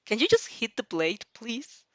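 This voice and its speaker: Woman as teenage schoolgirl, exasperated, stubborn, blunt, animated intonation, story reading, dry sound. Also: fairly high pitch, unhurried, no echo, heavily acted. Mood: flat, insistent. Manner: annoyed, blunt. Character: exasperated, polite but stubborn high school girl.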